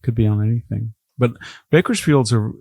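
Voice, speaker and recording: An older man with a gravelly, mid-range voice, recorded close and dry. He talks casually on a podcast, drifting through anecdotes with asides and restarts. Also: mid-range voice, moderate pace, warm tone, dry recording. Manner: rambling, anecdotal storytelling. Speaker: historian guest.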